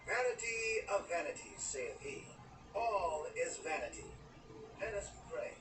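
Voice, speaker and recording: Small-town preacher, solemn, declamatory, radio drama, distant from mic, music behind. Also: male voice, high-pitched, expressive, unhurried, reverberant. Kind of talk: radio drama sermon, scripture reading.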